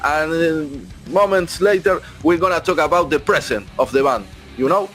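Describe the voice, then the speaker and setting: A man's voice: on the high side, moderate pace, a bit bright, faint music behind. A man with an Argentine accent presenting a radio show, emphatic and piling up repeated phrases. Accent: Argentine Spanish. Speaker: radio host.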